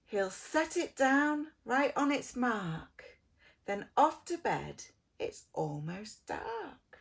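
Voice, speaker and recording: A woman reading a children's picture book aloud as an expressive preschool storyteller, theatrical, drawing out words and dropping into soft, hushed phrases. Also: on the high side, slow pace, vocal fry, slightly rough.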